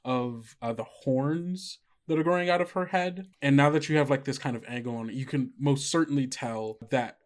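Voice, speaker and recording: A man narrating a tabletop game as game master, with suspenseful, deliberate phrasing. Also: mid-range voice.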